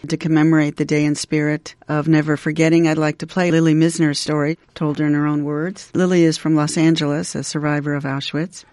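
A man presenting on radio, giving a measured, solemn spoken introduction. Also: a little high, little pitch movement, darkish tone, no echo, studio quality. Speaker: radio host.

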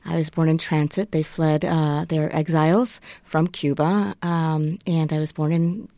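A woman's voice: low-pitched, fairly even intonation, a little slow, warm tone, no room sound. A woman professor raised in the northeastern United States answers interview questions as a studio guest. She speaks thoughtfully and explains at length, with frequent ums.

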